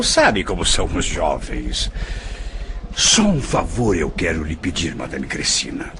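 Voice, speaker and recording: Scheming, courteous middle-aged Brazilian father, played by a male actor, low voice, very animated, audio drama. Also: slight background noise.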